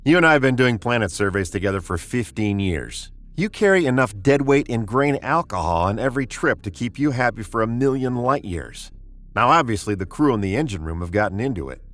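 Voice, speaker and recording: An American actor playing a brusque, impatient middle-aged spaceship commander in a 1950s radio drama, commanding and sardonic. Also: male voice, medium pitch, animated, fairly quick, a bit bright, close to an everyday voice. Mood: exasperated.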